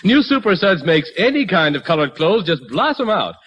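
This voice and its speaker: High, animated, chipper soap-selling uncle. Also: male voice, moderate pace, breathy edge, dull audio, exaggerated voice. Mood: upbeat, persuasive. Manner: emphatic, salesmanlike, polished. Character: chipper, friendly neighborhood uncle pitching laundry soap. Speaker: announcer. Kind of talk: 1940s radio sponsor pitch. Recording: slightly noisy 1940s radio recording.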